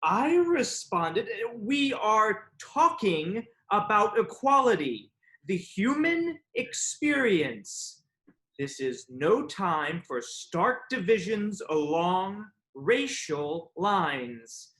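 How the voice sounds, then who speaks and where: A man's voice: high voice, big pitch swings, very slow, a bit bright, exaggerated voice, poor audio. A man acting a defensive, self-justifying character in a live staged reading, explaining earnestly and hesitantly. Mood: indignant, earnest. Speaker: actor in a virtual staged reading. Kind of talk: live staged play reading, conversational monologue. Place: indoors, streamed over video webinar.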